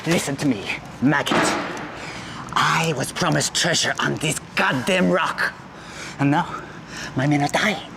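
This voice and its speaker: A man's voice: fairly high pitch, unhurried, gravelly, long pauses, pushed and bright, a little hiss or hum, heavily acted. A man playing a ruthless, exasperated video game villain who commands mercenaries in a menacing manner. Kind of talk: re-enacting video game lines.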